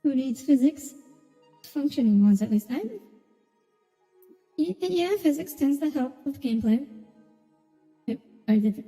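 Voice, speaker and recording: A woman's voice: sits high, animated, measured pace, long pauses, dark tone. A woman streaming a video game, mixing casual commentary with reading the story text aloud. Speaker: gaming streamer playing through a story quest. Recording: reverberant sound with music behind. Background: game music.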